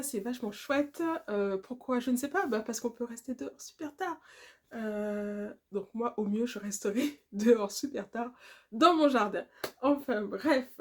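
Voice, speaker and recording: A woman in her late thirties from Guadeloupe, chatting casually to the camera in a rambling, talkative way, often laughing and filling pauses. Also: on the high side, a little mellow. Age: 30s. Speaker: vlogger.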